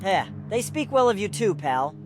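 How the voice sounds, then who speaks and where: A man's voice: high-pitched, sing-song, normal speed, background noise. A man playing a loyal, chipper young delivery worker in a visual novel game, with an eager, deferential manner. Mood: breezy. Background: loud music.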